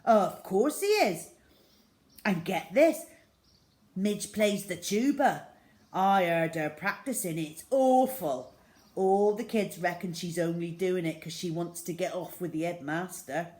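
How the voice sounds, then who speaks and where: A woman voicing a blunt young schoolgirl bully who gushes excitably, in a children's storybook reading. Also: lowish voice, takes it slowly. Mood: excited, gossipy. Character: tough schoolgirl bully turned tearful, eager new friend.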